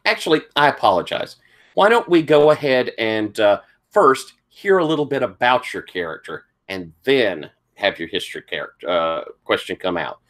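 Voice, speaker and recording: A man with a thick Southern accent and a lowish voice runs a tabletop game. He is tired, and he explains the setup to his players in a polite, accommodating way. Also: very animated, normal speed, fairly bright tone. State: tired from lack of sleep. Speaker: game master.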